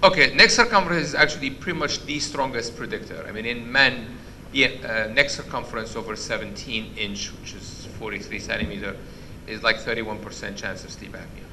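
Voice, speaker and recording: A man with a loud voice giving an interactive medical lecture, quizzing the audience and restarting his sentences often. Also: medium pitch, fairly quick, few pauses, background noise. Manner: questions the audience, many restarts. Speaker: physician lecturer. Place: conference hall.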